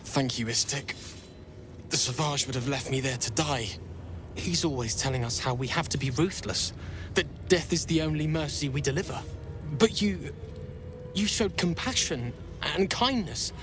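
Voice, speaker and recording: A man's voice: a little high, music in the background, lightly acted. Earnest, timid young alien warrior trainee voiced by a man. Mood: shy, wistful. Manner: hesitant, earnest, halting. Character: earnest, timid young githyanki male trainee, dreamy idealist. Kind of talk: video game voice acting.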